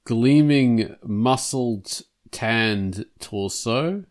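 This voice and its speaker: A man's voice: medium pitch, drawn-out words. A man hosting a podcast in a casual, jokey chat, laughing often. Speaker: podcast host.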